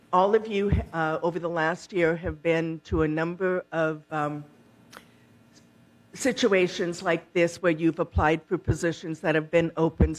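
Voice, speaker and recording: A woman giving informational remarks at a public meeting, explaining point by point and pausing often mid-sentence. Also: fairly low pitch, rough recording. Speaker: board candidate at a community forum.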